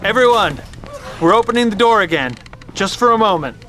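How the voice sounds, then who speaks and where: An actor playing a curious, persuasive survivor in an audio drama, speaking earnestly and urgently. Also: male voice, sits high, lively intonation, a little fast, pushed and bright, slight background noise. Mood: urgent calling out.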